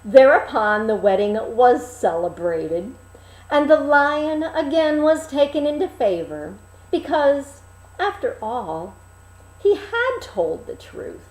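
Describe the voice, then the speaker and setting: Slow, animated woman storyteller in echoey room. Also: high voice. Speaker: storyteller reading a fairy tale. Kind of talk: expressive storybook narration. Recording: roomy, echoing room.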